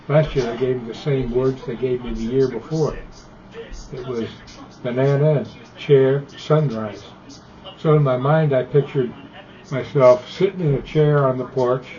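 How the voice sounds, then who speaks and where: A man's voice: medium pitch, mellow. An American man in his eighties chatting casually as an amateur radio operator, with a blunt, opinionated, rambling manner. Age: 80s. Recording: dull lo-fi ham radio audio.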